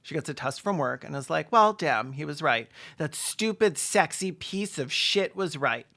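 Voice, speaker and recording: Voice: mid-range voice, lively intonation, normal speed, vocal fry, a bit bright. Snarky, campy video essay commentator. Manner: snarky, campy, joking asides. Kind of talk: sarcastic scripted commentary on a film.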